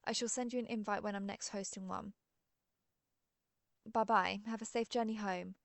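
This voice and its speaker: A woman acts as a genteel female vampire with a gravelly fry in her voice, recorded close and dry. She is an ancient but friendly creature, chatting in a polite, gently wry way in an audio roleplay. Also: mid-range voice, steady tone, moderate pace, dry recording, natural voice. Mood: gracious, friendly. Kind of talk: scripted roleplay audio, one side of an interview.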